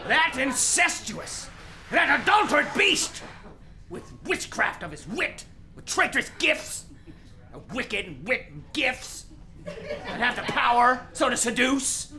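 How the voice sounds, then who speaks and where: An actor playing the anguished ghost of a murdered king and father in a Shakespeare radio play, declaiming in a drawn-out, theatrical way. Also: extremely high pitch, sing-song, crowd noise, exaggerated voice. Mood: bitter, outraged.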